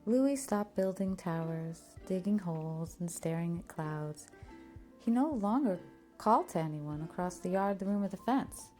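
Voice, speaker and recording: A woman's voice: lowish voice, lively intonation, moderate pace, dark tone, soft background music. A woman narrating a children's picture book expressively, drawing out key words for emphasis. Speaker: storybook reader. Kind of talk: scripted children's story narration.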